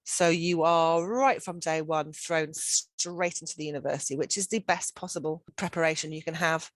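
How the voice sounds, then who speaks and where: Low-voiced woman pitching a study program. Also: steady tone, normal speed, no room sound. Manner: upbeat and persuasive, with frequent restarts. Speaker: study-abroad centre director. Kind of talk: promotional info-session presentation.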